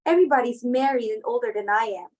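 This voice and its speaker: A woman's voice: a little high, fast, a little mellow, close to an everyday voice. A woman playing a timid, reluctant young society daughter in an amateur reading of a stage comedy. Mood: plaintive, reluctant.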